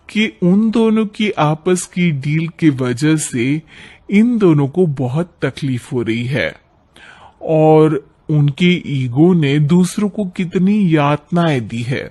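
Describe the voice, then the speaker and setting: A male narrator tells a scripted cartoon tale. His pitch is high for a man and his pace is slow. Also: lively intonation, darkish tone. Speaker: story narrator.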